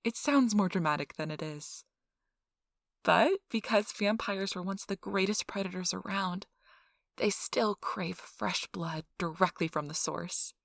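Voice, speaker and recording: A woman performs an earnest, cheerful female donor recruiter in a soft-spoken ASMR role-play. Her voice sits a little low for a woman. She talks in polite, reassuring customer-service patter, and the sound is very dry, with no room echo. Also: a little slow, creaky. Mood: upbeat, reassuring. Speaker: donor acquisition interviewer.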